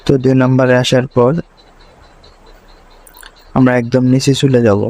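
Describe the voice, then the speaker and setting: A man's voice: medium pitch, monotone, moderate pace, darkish tone. A man presenting a screen tutorial step by step, linking each instruction to the last in a repetitive way.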